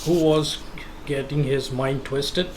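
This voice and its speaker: A man narrating a game walkthrough in casual commentary, explaining step by step with halting restarts and fillers. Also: on the high side, fairly even intonation, darkish tone, slight background noise.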